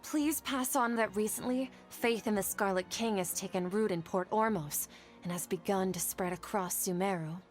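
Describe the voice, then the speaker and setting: A woman's voice: mid-range voice, normal speed, faint music behind, natural voice. A woman playing an earnest, anxious young female scholar in an anime video game, easily flustered. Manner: earnest, flustered, stammering under pressure.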